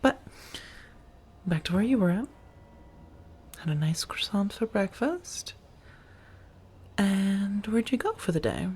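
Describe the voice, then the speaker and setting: A woman playing an affectionate, teasing vampire roommate in an intimate ASMR roleplay, speaking softly and breathily to the listener. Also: medium pitch, animated, slight background noise. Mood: curious, teasing. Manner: gently teasing, drawn-out phrasing, soft chuckles.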